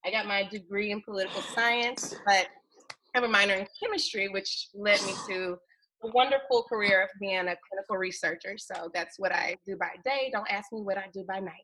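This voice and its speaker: Bright-voiced lively woman in her thirties. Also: medium pitch, brisk, low-quality recording. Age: 30s. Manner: lively, laughs often, playful. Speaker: podcast co-host. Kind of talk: casual self-introduction.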